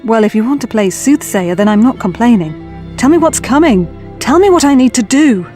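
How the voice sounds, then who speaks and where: An anxious, determined young woman played by an actress in an audio drama. Her voice is fairly high, her manner urgent, blunt and wry, with faint music behind. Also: expressive, normal speed, warm tone, close to an everyday voice.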